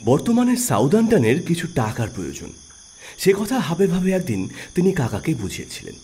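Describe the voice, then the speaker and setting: A man narrating an audio story in an expressive storytelling manner. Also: a little high, moderate pace, a little hiss or hum. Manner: expressive, rising and falling storytelling.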